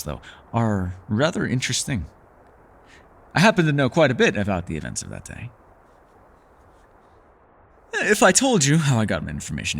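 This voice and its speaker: A man voicing a reclusive, centuries-old elf in a fantasy ASMR roleplay, gentle, wry and secretive, with measured formal phrasing. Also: on the low side, creaky, slightly rough, exaggerated voice. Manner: measured, formal phrasing, hesitant and softly teasing. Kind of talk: fantasy ASMR roleplay acting, intimate one-sided dialogue.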